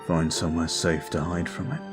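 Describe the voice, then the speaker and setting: A man's voice: deep voice, little pitch movement, close to an everyday voice. A man playing a weary brooding vampire. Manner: slow, soft, confiding. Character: brooding male vampire, weary and guilt-ridden. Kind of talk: ASMR roleplay audio. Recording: faint music behind.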